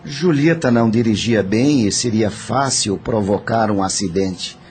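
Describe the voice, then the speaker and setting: An actor with a Brazilian accent playing a dead, greedy, scheming nephew who confesses in a matter-of-fact, calculating way in an audio drama. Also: male voice, on the low side, unhurried, a little mellow, slight background noise, natural voice. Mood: coldly confessing.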